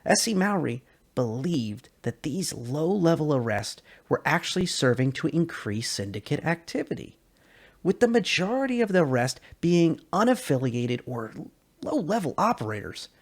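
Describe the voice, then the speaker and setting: Husky man reading dramatically, lively pitch swings. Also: normal speed. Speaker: narrator, lore video creator. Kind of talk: dramatic reading of science fiction lore.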